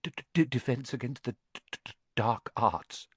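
A man's voice: medium pitch, moderate pace, slight background noise, close to an everyday voice. A man voicing a pale, nervous young male professor with a heavy stammer in a storybook reading. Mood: timid. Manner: heavy stammer, timid and muttering.

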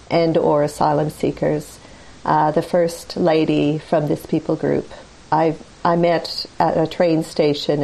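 Deep, gravelly woman. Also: warm tone, rough recording. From North America. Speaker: guest. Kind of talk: conversational testimony.

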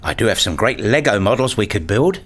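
Man, low voice, scripted audio drama narration, measured, reflective, first-person storyteller. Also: sing-song, fairly bright tone. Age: middle-aged. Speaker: narrator and lead actor playing a father.

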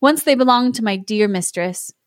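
A woman's voice: a little high, moderate pace, dry recording, studio quality, close to an everyday voice. Woman reading as a sly, sighing talking cat. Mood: wistful storytelling. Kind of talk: storybook read-aloud.

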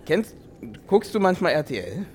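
A man's voice: high-pitched, big pitch swings, moderate pace, darkish tone, a little hiss or hum. A man playing an evasive, flustered husband in an improvised comedy audio play, stammering through his explanations. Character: evasive, flustered husband keeping secrets, Manfred.

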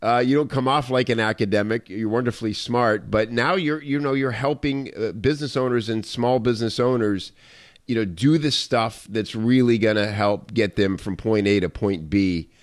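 A man's voice: on the low side, normal speed. A man hosting a podcast, introducing and questioning his guest in an enthusiastic, rambling way with many fillers. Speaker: podcast host. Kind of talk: interview conversation.

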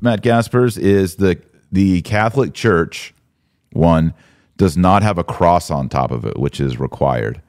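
Male podcast host, deep voice, dry close sound. Emphatic, colloquial, opinionated live commentary. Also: unhurried, darkish tone, no echo. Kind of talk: live commentary and casual discussion.

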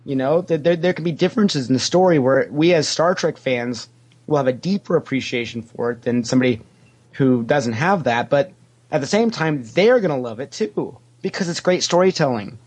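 A man talks as a guest in a relaxed fan conversation. His voice sits at an ordinary middle pitch. He states opinions firmly and repeats phrases to press each point. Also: moderate pace, husky. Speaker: podcast interview guest. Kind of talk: casual fan discussion.

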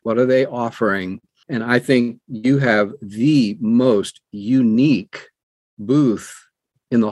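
A man's voice: mid-range voice, no echo. A middle-aged or older man talking as an interview guest, giving earnest, conversational advice and repeating phrases for emphasis. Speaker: interview guest, audiobook producer.

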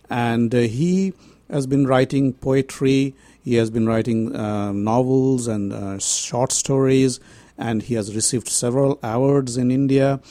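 A man's voice: medium pitch, warm tone, dry recording. A man hosting a radio studio interview, speaking politely and hesitantly with frequent fillers. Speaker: radio host.

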